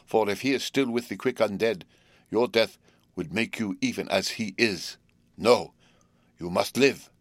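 A man voicing an elderly male professor, speaking gravely, slowly and emphatically in a fatherly way. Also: fairly low pitch, dry recording, lightly acted. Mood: fervent urging. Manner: grave, slow and emphatic pleading.

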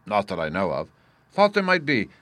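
Man playing a bright, good-natured young officer. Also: fairly low pitch, huge pitch swings, moderate pace, no echo. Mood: jovial chat. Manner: courteous, amused, jovial.

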